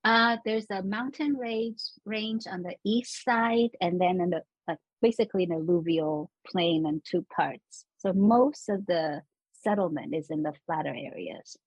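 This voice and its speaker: A woman scholar from Los Angeles explaining urban planning in a casual interview, animated and laughing now and then. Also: fairly low pitch, unhurried, slightly rough, no echo, poor audio.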